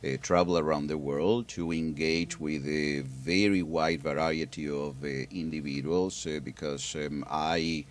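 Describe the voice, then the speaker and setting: Very deep-voiced man, measured and explanatory. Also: steady tone. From Argentina. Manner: measured, explanatory, with frequent hesitations and restarts. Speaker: guest expert. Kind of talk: public affairs interview.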